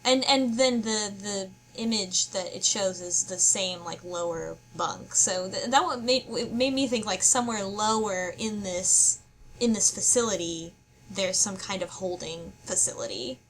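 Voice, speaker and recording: A woman with a mid-pitched voice co-hosts a casual discussion, with faint noise behind her. She speculates out loud in halting, puzzled phrases as she works through a story. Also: low-quality recording. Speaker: book club podcast co-host.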